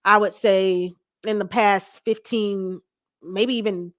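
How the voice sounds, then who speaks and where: A woman in her forties talks as a guest in a casual interview. She is candid and conversational, telling things in an animated way. The sound is dry, with very little room around the voice. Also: medium pitch, takes it slowly, dry recording. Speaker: talk show guest.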